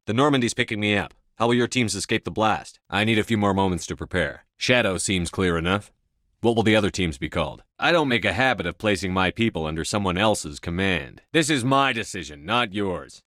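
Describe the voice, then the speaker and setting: A steadfast male space-marine commander for a video game. His voice sits low, and he speaks in clipped, authoritative phrases. The recording is dry and close, with no room around it. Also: fairly bright tone, no echo. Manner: clipped, authoritative military delivery. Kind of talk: video game dialogue lines.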